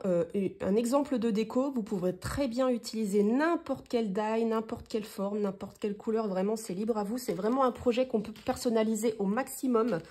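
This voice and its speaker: A woman's voice: a little high, warm tone, husky. A woman from France with a head cold, chatting through a casual papercraft tutorial and rambling with many fillers as she shows her materials. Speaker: crafting tutorial host. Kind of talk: casual hands-on craft tutorial.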